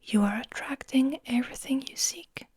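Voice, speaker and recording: A woman whispering breathily in a slow, soothing guided ASMR style, lingering on her words. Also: sits high, darkish tone, breathy edge, dry recording, clean studio sound. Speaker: ASMR host.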